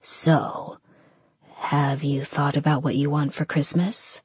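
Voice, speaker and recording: Woman putting on a low, hushed tender bridegroom. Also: level tone, slow, breathy edge, no echo, muffled recording, heavily acted. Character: tender, supportive bridegroom, quiet and intimate man.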